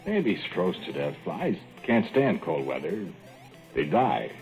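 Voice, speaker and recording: A man's voice: low-pitched, big pitch swings, a little slow, darkish tone, heavily acted. An actor playing a skeptical, plain-spoken rural young man with a dry, doubting drawl, in a 1940s radio play. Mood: matter-of-fact. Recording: muffled old radio broadcast, faint music.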